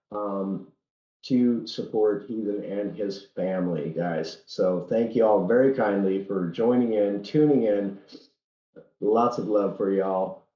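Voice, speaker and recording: A man's voice: lowish voice, roomy sound, highs cut off. A man hosting a racing livestream in a folksy, earnest way, speaking while under the weather with a head cold. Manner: folksy and earnest, halting at times. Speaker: livestream host. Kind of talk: casual livestream commentary.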